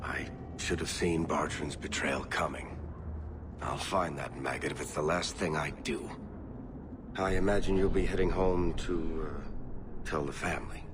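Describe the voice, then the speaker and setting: A man's voice: very deep voice, a little slow, noisy background. A man playing a wry, sardonic roguish storyteller in a fantasy game, with music behind him. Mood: somber. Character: wry storyteller, roguish dwarf companion recounting past adventures. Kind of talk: cinematic fantasy game dialogue and narration.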